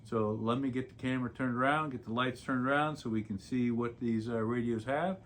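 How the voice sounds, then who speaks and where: A man's voice: medium pitch, steady tone, fairly quick, fairly bright tone. A man giving a casual hands-on product review as a video host, explaining features plainly and step by step as he handles the gear. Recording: clearly noisy home-made recording.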